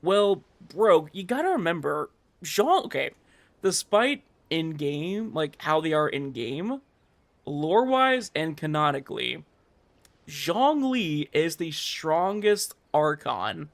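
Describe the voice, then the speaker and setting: A man's voice: a little high, very animated, slow pace, creaky, dry recording. A man chatting casually as a livestream host, rambling in informal gamer slang and acting out imagined quotes. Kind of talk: casual chat while streaming a game.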